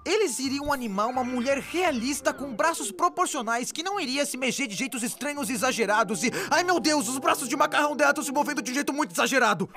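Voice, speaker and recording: An excitable cartoon explainer narrator with a Brazilian accent, performed by a woman. The voice sits high and moves in lively swoops, with faint music underneath. The delivery is scripted yet informal, wry and exaggerated. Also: fast, hardly any pauses. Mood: wry, enthusiastic. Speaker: narrator of an animated explainer video.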